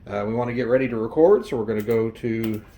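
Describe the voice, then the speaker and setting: A man's voice: medium pitch, fast, warm tone. A man hosting a review channel, explaining things in a casual, conversational product walkthrough. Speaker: host of a vintage electronics review channel.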